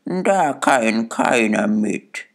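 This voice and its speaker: A man's voice: medium pitch, expressive, very slow, creaky, full character voice. A man voicing a gossipy old laundress in an audiobook, eagerly agreeing in a fawning way.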